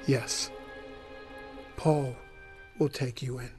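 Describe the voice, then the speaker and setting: An actor playing a hesitant, placating survivor in an audio drama, answering briefly and haltingly. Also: male voice, medium pitch, fairly even intonation, a little mellow. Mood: hesitant, subdued. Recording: music behind the voice.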